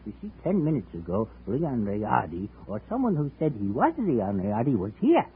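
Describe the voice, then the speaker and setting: A fussy, doddering collector in his seventies, his voice swooping wildly from low mutters to high excited squeaks. It comes through a thin, tinny old radio broadcast with a faint hiss underneath. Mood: gloating. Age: 70s. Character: eccentric elderly male coin collector. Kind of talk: 1950s radio drama acting.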